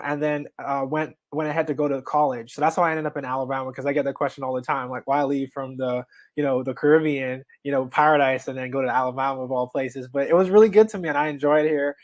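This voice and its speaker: A man's voice: medium pitch, fairly even intonation, fast talker, dry recording. A young adult man from the Bahamas, a guest investor telling his story in an easygoing way with frequent fillers. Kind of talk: casual interview talk.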